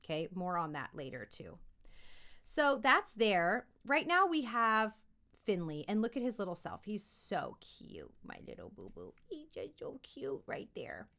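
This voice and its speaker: A woman's voice: mid-range voice, huge pitch swings, unhurried, pushed and bright. A woman giving an informal lesson as a voice acting coach for kids, encouraging and emphatic as she demonstrates. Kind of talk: informal instructional video aimed at kids.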